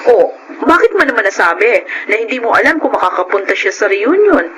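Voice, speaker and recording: A woman's voice: on the high side, sing-song, a little fast, slight background noise, poor audio. An actress playing a friendly, generous middle-aged woman back home for a visit in a radio drama. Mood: chatty. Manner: bright, chatty and friendly.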